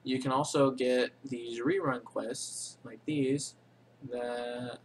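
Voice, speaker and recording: A man's voice: mid-range voice, steady tone, slow, a little hiss or hum, low-quality recording. A man casually narrating a screen-recorded game tutorial, rambling with many ums and self-corrections, in a voice he calls boring. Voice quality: says he has a boring voice. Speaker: game tutorial channel host.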